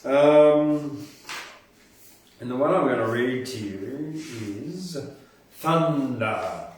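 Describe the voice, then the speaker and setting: A man hosts a poetry reading with a slow, deliberate delivery and a medium-pitched voice. He sounds informal, like a live presenter. The room is heavily reverberant and he is a little way from the microphone. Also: a little mellow, slight background noise. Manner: unhurried, deliberate, dramatic when reciting verse. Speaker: poetry podcast host and reader. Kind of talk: casual live poetry reading and event announcements. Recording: very echoey room, distant from mic.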